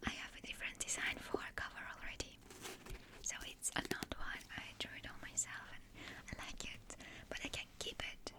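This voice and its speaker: A woman in her twenties, a native Russian speaker, whispering in a soft, meandering ASMR ramble close to a desktop condenser mic. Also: brisk. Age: 20s. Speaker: ASMR creator. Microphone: desktop USB condenser mic.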